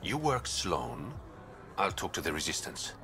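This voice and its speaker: Sly, lively low-voiced smuggler. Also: male voice, unhurried, gravelly, over music, heavily acted. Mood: sly, businesslike. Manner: wry, playful. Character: smooth roguish male smuggler contact, teasing and sly. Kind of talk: video game character dialogue.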